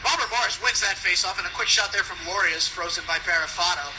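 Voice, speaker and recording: Guy doing radio hockey play by play, really high excited voice, noisy rink sound behind him. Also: fast. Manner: urgent, excitable calls. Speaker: play-by-play announcer. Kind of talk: live ice hockey radio commentary. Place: ice hockey rink broadcast position. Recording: noisy arena radio broadcast.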